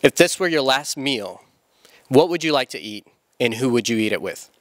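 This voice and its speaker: A man's voice: mid-range voice, lively intonation, normal speed, dry recording. Young man, mock reporter, dry studio sound. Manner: terse, repetitive one-word questions. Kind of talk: comedic deadpan interview questions. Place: indoor church hall stage. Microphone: handheld mic.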